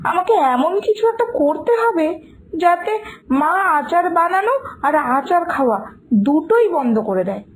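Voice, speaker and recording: A woman playing a caring, fretful young daughter-in-law in a cartoon, with exaggerated, expressive delivery. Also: very high voice, rough recording. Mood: worried, determined. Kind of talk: scripted cartoon dialogue.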